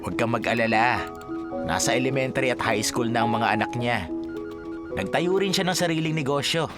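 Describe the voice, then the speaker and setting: A man's voice: medium pitch, a little fast, over loud music, lightly acted. A man playing a cheerful, chummy office manager in a Filipino radio drama, chatty and upbeat, with a Filipino accent. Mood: chummy, informative. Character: cheerful general manager, chummy colleague sharing news.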